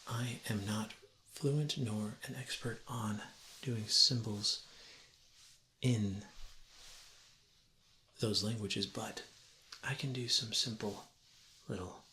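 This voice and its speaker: A man, perhaps in his thirties, gently and deliberately explaining things as a teacher in a soft-spoken ASMR roleplay lesson. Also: fairly low pitch, fairly even intonation. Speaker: ASMR creator playing a teacher. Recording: roomy echo with faint ambient noise.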